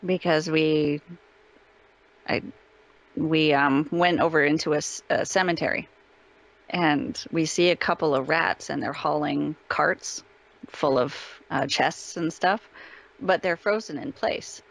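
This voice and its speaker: A woman chatting casually as an interview guest, telling stories in an animated, chatty way. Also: low voice, measured pace, vocal fry, rough recording. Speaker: voice actress being interviewed.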